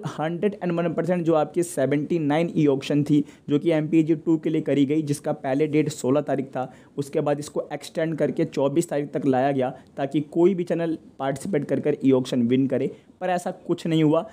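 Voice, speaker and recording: Man, slightly high pitch, soft mellow tone, conversational update narration, direct address to viewers. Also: steady tone, normal speed, hardly any pauses. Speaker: host of a TV channel news update show. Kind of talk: scripted informal news update narration to viewers.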